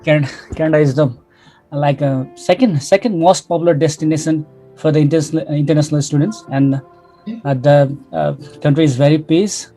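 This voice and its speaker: A man from the audience answering a question in halting non-native English with many uhs. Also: a little high, steady tone, dark tone, soft background music, poor audio.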